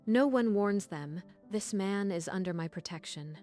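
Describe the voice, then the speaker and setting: A woman's voice: mid-range voice, measured pace, dark tone, faint music behind, natural voice. A woman playing a stern, commanding royal counselor in a dramatic story dub, with a cold, imperious delivery. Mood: furious, commanding. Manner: cold, imperious, clipped declarations. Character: stern silver-haired female royal counselor, commanding and furious. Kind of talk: dramatic dubbed-story acting.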